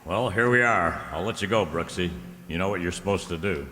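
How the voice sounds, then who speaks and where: A man's voice: sits low, brisk, bright, forceful tone. A man playing an easygoing, wry private detective in an old-time radio drama, with a breezy, teasing manner. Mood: coaxing. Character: George Valentine, easygoing wry male freelance detective. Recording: echoey hall with noticeable noise.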